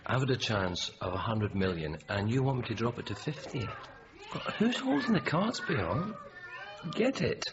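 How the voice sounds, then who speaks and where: A man playing a volatile, bargaining hostage-taker in a radio drama, halting and repeating himself, at times oddly protective. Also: mid-range voice, sing-song, lo-fi sound. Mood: agitated.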